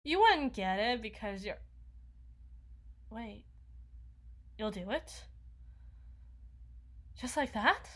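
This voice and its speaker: A woman's voice: a little high, big pitch swings, extremely slow, pauses often, a bit bright, heavily acted. A woman voicing an awkward, needy, housebound young vampire in an ASMR roleplay, rambling and stammering as she corrects herself. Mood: nervous, flustered, then disbelieving, grateful, eager. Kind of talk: intimate ASMR roleplay monologue.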